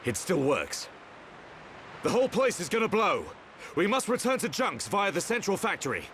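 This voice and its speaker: Bright, high-voiced earnest young fighter. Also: male voice, huge pitch swings, normal speed, slight background noise, heavily acted. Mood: resolute, urgent. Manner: urgent, forceful. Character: earnest, hot-blooded young male fighter. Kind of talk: video game cutscene acting.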